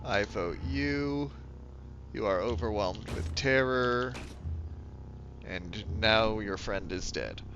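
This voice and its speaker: A man's voice: takes it slowly, long pauses, noisy background. A congested man who is losing his voice, chatting casually and self-mockingly as he streams a game. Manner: low-key, rambling, self-mocking. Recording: dull lo-fi sound with music behind. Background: music.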